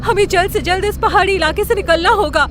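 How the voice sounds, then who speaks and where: A woman's voice: very high voice, brisk, over music, crowd noise. A woman playing a frightened young camper in a dramatized horror story. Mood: panicked urging.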